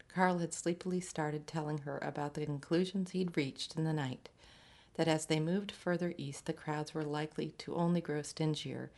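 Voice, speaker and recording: A woman reading a literary short story aloud in a measured, scripted narration. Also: low-pitched, vocal fry, a little mellow. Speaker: story reader.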